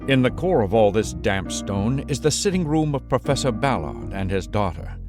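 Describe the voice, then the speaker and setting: A brooding storyteller, played by a man, narrates an old-time radio mystery in a low voice. The delivery is ominous and theatrical, with guitar music clearly playing underneath. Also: sing-song, normal speed. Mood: descriptive. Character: brooding storyteller guiding listeners through a gloomy castle.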